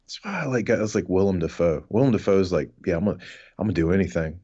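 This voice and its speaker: Low-voiced sardonic rambling man, slightly muffled. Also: expressive, fast, mellow, breathy edge. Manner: rambling, sardonic, sweary, stammers and repeats words. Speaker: podcast co-host. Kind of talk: casual comic ranting chat.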